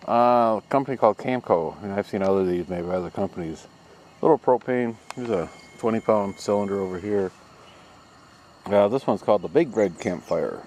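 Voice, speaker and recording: A man's voice: fairly low pitch, a little fast, a little mellow. Middle-aged man, distant noisy mic. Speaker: camping and ham radio vlogger. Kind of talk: casual unscripted vlog chat. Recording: distant mic, background noise.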